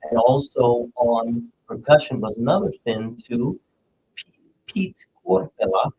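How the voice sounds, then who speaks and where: A man's voice: mid-range voice, animated, unhurried, dark tone, dull audio, slight background noise. A man from Puerto Rico, a jazz guitarist chatting as a guest in an interview, easygoing and rambling. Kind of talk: casual interview chat.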